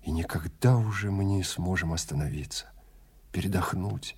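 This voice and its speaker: A man voicing an anxious, haunted wife in flight in an audio drama, in a hushed, brooding manner. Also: low voice, normal speed, slightly rough, heavily acted. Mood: fearful, brooding.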